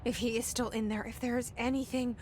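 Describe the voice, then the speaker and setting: A woman's voice: a little high, flat delivery, a little fast, noisy background, lightly acted. An actress playing a tough young woman who talks tersely and bluntly and swears. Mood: tense.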